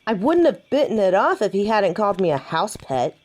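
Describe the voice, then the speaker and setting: A woman's voice: medium pitch, big pitch swings, a little fast, darkish tone. A woman playing a young, stubborn, hot-headed female werewolf in an audio roleplay drama. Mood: indignant.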